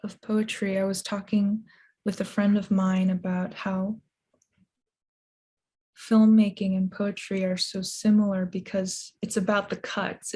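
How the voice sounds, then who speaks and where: A woman writer talks through her craft in a calm, measured way. Her voice is mellow and slightly gravelly, with little rise and fall. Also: mid-range voice. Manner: thoughtful, measured, with restarts. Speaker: author and translator. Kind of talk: conversational interview answers.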